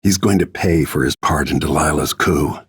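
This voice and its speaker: A man voicing a grim, battle-worn middle-aged royal protector and assassin in a video game, with terse, brooding, measured delivery and a gravelly, husky voice. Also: extremely low pitch, dry recording, clean studio sound, heavily acted. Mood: grim, resolute. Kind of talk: scripted video game character lines.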